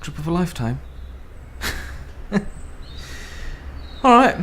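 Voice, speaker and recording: A man's voice: a little high, sing-song, slow pace, fairly bright tone, slight background noise, heavily acted. A man acting in a naturalistic radio drama as a troubled, weary young man drifting through life, reluctant in manner. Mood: tempted, resigned.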